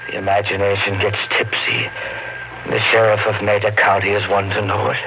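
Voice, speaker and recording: Actor as haunted trucker on tinny old radio. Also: male voice, fairly low pitch, completely flat delivery, a little fast, lightly acted. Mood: dazed. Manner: dramatic, brooding delivery. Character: haunted male truck driver losing his mind. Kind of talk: 1950s radio horror acting, first-person narration. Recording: tinny old radio recording with loud music.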